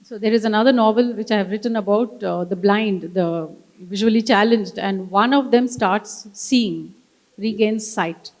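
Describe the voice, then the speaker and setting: Woman interpreting, medium pitch. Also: measured pace, darkish tone, rough recording. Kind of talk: consecutive live interpreting. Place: live festival stage.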